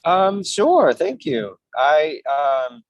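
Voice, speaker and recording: A man's voice: fairly high pitch, big pitch swings, drawn-out words, dry recording, highs cut off. A man who performs on stage, chatting casually and appreciatively as a guest on an online panel. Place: remote online call, dry close sound.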